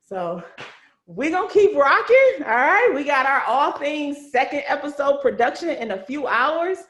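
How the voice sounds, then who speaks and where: A woman hosting a casual video call as a series writer, chatting in an animated, effusive, slangy storytelling way. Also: high voice, unhurried. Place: indoor room on a video call. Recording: echoey room over video call.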